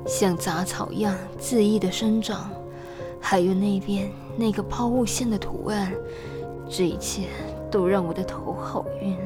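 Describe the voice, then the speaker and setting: A woman's voice: medium pitch, fairly even intonation, a little slow, over music, close to an everyday voice. A woman acting an anxious, melancholy young wife shut away in a room, in an audio drama. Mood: uneasy, dizzy.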